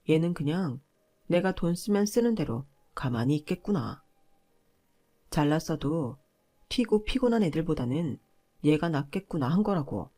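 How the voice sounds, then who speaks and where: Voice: medium pitch, darkish tone, natural voice. Arrogant, sneering young husband acted by a narrator. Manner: scornful, bossy, confrontational. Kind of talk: acted dialogue in a narrated marriage story.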